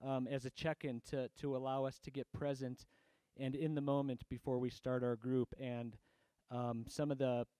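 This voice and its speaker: A man's voice: mid-range voice, little pitch movement, normal speed, hardly any pauses, warm tone, smooth, no echo. A man hosting a reading event, introducing the next reader in a friendly, rambling way. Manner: friendly, rambling, with fillers and restarts. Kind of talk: casual emcee introductions.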